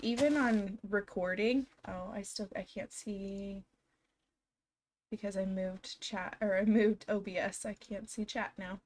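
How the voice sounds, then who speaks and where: Slow-talking hoarse young woman streamer. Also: medium pitch. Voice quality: slightly scratchy, froggy. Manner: chatty, giggly, talks to chat. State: hoarse, recovering from illness. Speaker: game streamer. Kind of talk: casual live stream chat. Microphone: desk microphone.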